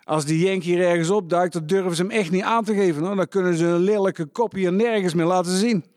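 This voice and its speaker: A man's voice: high-pitched, brisk, darkish tone, dry recording, studio quality, lightly acted. A man playing a wary, protective farmer in a Dutch wartime audio drama, speaking in a blunt, cautioning way. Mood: stern, cautioning. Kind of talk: scripted radio drama dialogue.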